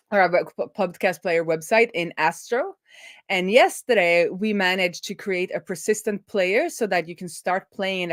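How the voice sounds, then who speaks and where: A woman hosting a live coding stream, chatting informally and telling things in an animated, conversational way. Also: fairly low pitch, no room sound, clean studio sound. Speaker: livestream host and web developer.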